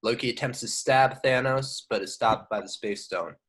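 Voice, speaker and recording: A man's voice: mid-range voice, moderate pace, a bit bright, husky. A man narrating scripted action descriptions at a table read. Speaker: stage direction narrator.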